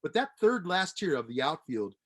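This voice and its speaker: A man hosting a sports interview, asking long, rambling questions with animated energy. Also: fairly high pitch, moderate pace, slightly rough. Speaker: interview host.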